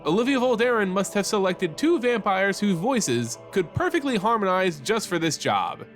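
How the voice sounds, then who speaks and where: A man with a fairly high voice narrates like a storyteller, measured and dramatic. His pitch moves around a great deal from phrase to phrase. Music plays underneath. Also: big pitch swings. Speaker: host and story narrator of a fan lore channel. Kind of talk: scripted narration, storytelling read aloud.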